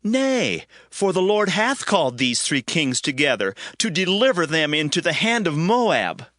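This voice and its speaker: A man's voice: fairly high pitch, big pitch swings, a little slow, pushed and bright, no room sound, studio quality, full character voice. Anxious king, widely swooping voice. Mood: anguished, fearful. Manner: dramatic, declamatory. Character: anxious, complaining ancient king. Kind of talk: dramatized scripture acting.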